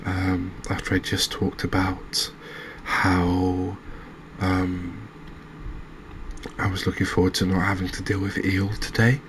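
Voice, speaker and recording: A man giving soft-spoken, unhurried ASMR commentary as he plays a game, musing aloud to his viewers. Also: sits low, completely flat delivery, a little hiss or hum, rough recording. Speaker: game streamer, host.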